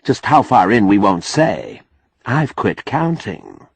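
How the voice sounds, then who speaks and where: A man's voice: lowish voice, wildly swooping pitch, slow, no room sound, full character voice. Guy doing a jolly middle-aged showgirl lady for an audiobook, affectionate and folksy, voice swoops around. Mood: proud, jolly. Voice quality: husky. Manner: folksy, affectionate, teasing endearments. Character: cheerful, brassy middle-aged woman, tattooed carnival performer.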